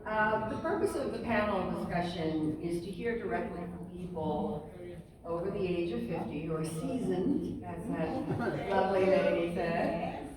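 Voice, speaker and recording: A woman's voice: on the low side, lively intonation, a little slow, a crowd in the background. Woman moderating in a very echoey hall. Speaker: panel moderator. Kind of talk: introducing a live panel discussion. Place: large echoing room with an audience. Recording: echoey hall, distant mic, loud room noise.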